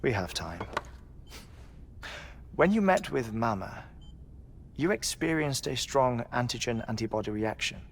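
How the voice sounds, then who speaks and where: An actor playing a grave, thoughtful researcher in a video game, explaining in a measured way. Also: male voice, medium pitch, animated, gravelly, a little hiss or hum. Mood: grave, confiding. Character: grave, thoughtful male researcher confiding his theories. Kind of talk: video game cutscene acting.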